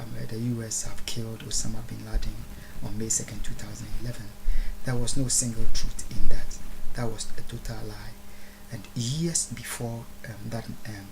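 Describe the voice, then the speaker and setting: A man from Ghana with a Ghanaian accent giving a solemn, formal, oratorical address, halting with long pauses and deliberate repeated phrases. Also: fairly low pitch, background noise. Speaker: speaker recording a video address. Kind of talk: solemn public address, unscripted.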